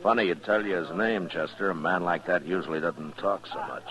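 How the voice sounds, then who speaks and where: An American actor playing a stern, steady frontier lawman in an old radio Western, husky and terse with a dry, unflinching delivery. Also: low voice, a little fast, a crowd in the background, natural voice, poor audio. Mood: wary, measured. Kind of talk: 1950s Western radio drama acting.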